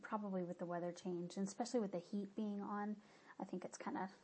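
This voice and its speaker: A woman's voice: mid-range voice, steady tone, fast talker, a little mellow, husky. A woman with a stuffy nose giving a casual, rambling update on her knitting projects, often stopping to correct herself. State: stuffy-nosed. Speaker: knitting podcaster.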